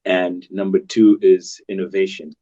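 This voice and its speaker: A man's voice: sits low, flat delivery, unhurried, warm tone. A man from South Africa, a doctor and panel guest, laying out his points hesitantly with fillers. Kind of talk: panel discussion. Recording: dull lo-fi sound.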